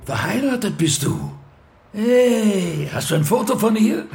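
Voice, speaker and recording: An actor playing a crude, mocking older convict in a radio drama. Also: male voice, sits high, very animated, unhurried, gravelly, a little mellow, slight background noise, exaggerated voice. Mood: taunting. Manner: taunting, crude. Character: coarse, mocking cellmate, stocky older convict.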